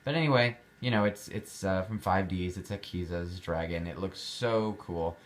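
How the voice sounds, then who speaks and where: A man's voice: low-pitched, moderate pace. A young man chatting casually about his collectibles, rambling with fillers and restarts. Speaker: card collector showing his cards. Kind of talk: casual vlog chat.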